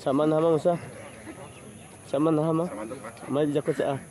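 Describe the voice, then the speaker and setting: A man's voice: a little high, little pitch movement, normal speed, dark tone. A man filming a casual walking vlog on his phone at a rural outdoor fair, chatting and greeting people as he goes. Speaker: vlogger. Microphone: handheld phone camera.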